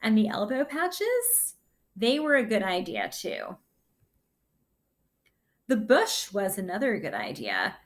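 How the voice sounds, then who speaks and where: A woman teacher giving a read-aloud lesson for children, reading a picture book with gentle, deliberate, expressive phrasing and her own commentary. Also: mid-range voice. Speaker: sixth grade teacher.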